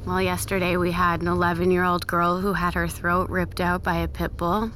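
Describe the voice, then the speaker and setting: A woman's voice: fairly low pitch, flat delivery, normal speed, fairly bright tone, slight background noise, lightly acted. A woman playing a blunt, sardonic, weary emergency-room nurse with a morbid streak in an audio drama. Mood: grim, matter-of-fact. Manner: blunt, matter-of-fact, sardonic. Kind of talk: audio fiction acting.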